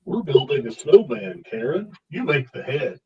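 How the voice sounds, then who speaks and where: A woman's voice: a bass voice, big pitch swings, normal speed, lo-fi sound. A woman playing an eager schoolchild in a live table read of a holiday cartoon. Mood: pondering. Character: eager schoolchild building a snowman. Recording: voice distant from the mic.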